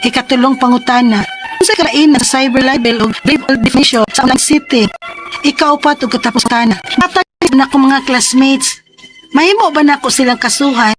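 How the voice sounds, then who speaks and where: Woman acting a high-voiced, distressed schoolgirl, over music. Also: fairly quick, close to an everyday voice. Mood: earnest, troubled. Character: distressed teenage schoolgirl confiding in her mother. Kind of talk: Cebuano radio drama acting and letter narration. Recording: music behind the voice.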